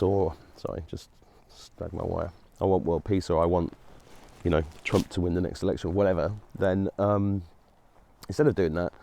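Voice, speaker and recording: A man's voice: low-pitched, warm tone. A middle-aged man hosting his own show, talking earnestly and rambling, with many restarts. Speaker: host. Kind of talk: solo monologue to camera.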